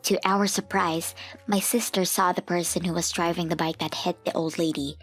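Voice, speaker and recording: A woman's voice: on the low side, fairly even intonation, normal speed, breathy edge, faint music behind. A woman reading a scripted first-person story as the narrator of a manga dub.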